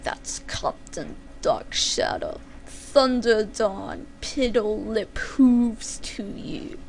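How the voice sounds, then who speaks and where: A woman's voice: medium pitch, very slow, vocal fry, slight background noise, voice pushed down. A woman voicing a pompous, melodramatic young male villain pony captain with a grandiose, growling delivery. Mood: menacing, growling. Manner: grandiose, melodramatic, archaic wording.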